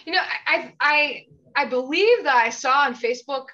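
A woman hosts a relaxed interview on a video call. Her voice is high and bubbly, and she often chimes in with short, encouraging replies. The call audio sounds dull and lo-fi. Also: normal speed. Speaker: interviewer, host.

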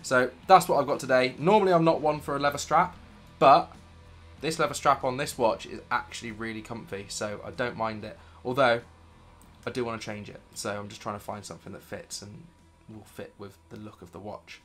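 Croaky British man, thirties, chatty gear vlog, slangy and keen, faint music behind. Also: mid-range voice, steady tone, fairly quick, fairly bright tone. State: croaky from illness. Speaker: vlogger. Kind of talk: casual gear review to camera. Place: dim home studio room, indoors.

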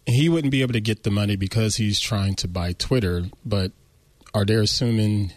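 A man with a fairly deep voice joins a casual podcast discussion as a panelist. The recording is close and dry. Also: moderate pace, dry recording. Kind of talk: casual chat.

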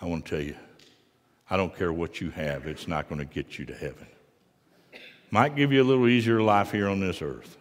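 A middle-aged man preaching a sermon in a large church sanctuary, moving from quiet asides to loud, fervent passages. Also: low-pitched, a little fast, long pauses, long reverb tail. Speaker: pastor.

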